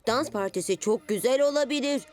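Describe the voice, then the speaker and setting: A woman's voice: on the high side, a little fast, a little mellow, lightly acted. A woman playing a kind, optimistic little superhero boy in a dubbed children's cartoon, earnest and good-natured. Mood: earnest, hopeful. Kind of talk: animated children's cartoon dubbing.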